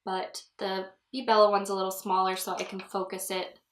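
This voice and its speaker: A young woman chats through a makeup tutorial at home, giggling and drifting into asides. Her voice sits at an ordinary pitch for a woman and sounds a little stuffy from a cold. The room gives it a noticeable echo. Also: level tone, normal speed, fairly bright tone, slight background noise. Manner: chatty, giggly, rambling asides. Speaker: beauty vlogger. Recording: echoey room.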